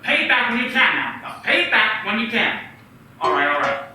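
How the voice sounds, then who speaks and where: A man's voice: very high voice, fairly quick, over music. A man playing an earnest young small-town banker. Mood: jubilant. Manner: pleading, urgent, animated. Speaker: radio play actor. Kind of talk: community radio play acting. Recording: echoey room, far from the mic.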